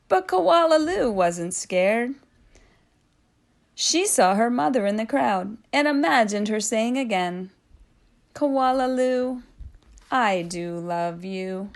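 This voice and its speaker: A woman reading a children's storybook aloud expressively, drawing out phrases with dramatic hushes and swells. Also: on the low side. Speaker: storybook reader.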